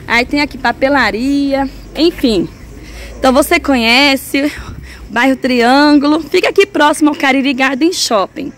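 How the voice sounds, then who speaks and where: A woman's voice: high-pitched, a bit bright, slight background noise. A middle-aged woman hosting a vlog, chatting casually as she guides viewers on a walking tour of a street market. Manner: chatty, guiding viewers along. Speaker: vlog host. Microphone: handheld mic.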